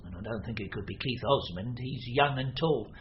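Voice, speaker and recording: An actor playing a fussy, cold British chiropodist in a radio drama, prim and somewhat hesitant. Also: male voice, medium pitch, brisk, natural voice. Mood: hesitant, pedantic. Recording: muffled radio recording, faint noise.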